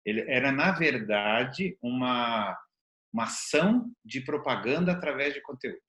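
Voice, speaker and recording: A man's voice: medium pitch, expressive, a little slow, fairly bright tone, dull audio. An older Brazilian man hosting a casual conversational interview. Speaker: interviewer, host.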